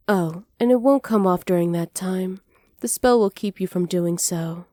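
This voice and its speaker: A woman playing a flirty, cruel evil witch in a soft ASMR roleplay, teasing and smug, close to the mic. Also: fairly low pitch, no room sound, close to an everyday voice. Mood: sly, businesslike. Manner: teasing, smug, unhurried, often dropping to near-whispers. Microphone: close microphone, dry.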